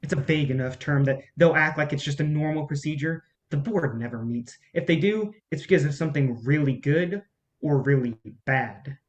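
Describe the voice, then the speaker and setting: A man plays a defiant film campaign worker in a staged reading. His voice sits a little high for a man, and his manner is blunt and insistent. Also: moderate pace, lo-fi sound, close to an everyday voice. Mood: frustrated, confrontational. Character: frustrated male campaign colleague, blunt and defiant.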